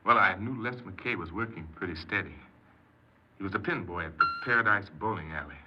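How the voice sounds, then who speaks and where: A man's voice: sits low, expressive, a little fast. An actor playing a bitter, downcast young American ex-convict recounting his life plainly in a 1950s radio drama. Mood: regretful. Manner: plain-spoken, clipped, glum. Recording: noisy, muffled 1950s radio recording.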